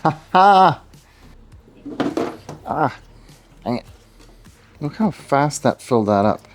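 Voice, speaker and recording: A man's voice: on the high side, very animated, takes it slowly, soft background music. A man from Sweden, a native Swedish speaker, chatting casually to viewers while he works on a car project in his garage and thinks aloud. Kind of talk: casual garage work commentary. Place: home garage.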